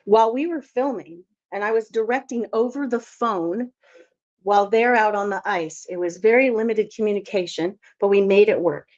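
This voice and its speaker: A woman's voice: mid-range voice, normal speed, darkish tone. A woman from Alaska giving a conference talk as a filmmaker, telling her story in a chatty, anecdotal way. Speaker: filmmaker presenter. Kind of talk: conference presentation. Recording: dull lo-fi audio.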